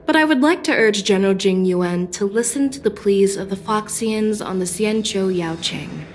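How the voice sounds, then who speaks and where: A woman's voice: mid-range voice, moderate pace, faint music behind, close to an everyday voice. An actress playing a composed, decisive female general in a video game. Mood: firm, formal. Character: composed, decisive female general who trusts her instincts. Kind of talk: English game voice acting.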